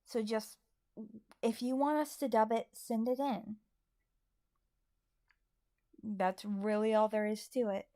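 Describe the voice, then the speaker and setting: A woman's voice: a little high, little pitch movement, takes it slowly, pauses often, dark tone, dry recording, clean studio sound. A woman co-hosting a casual livestream, chatting and answering questions from viewers. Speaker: co-host of a livestream.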